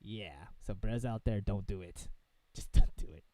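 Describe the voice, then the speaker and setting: A man's voice: on the low side, normal speed, warm tone. A man chatting casually as a gaming co-host, slangy and sweary, talking like a bro. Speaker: co-host reacting to a game. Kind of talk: casual banter with profanity.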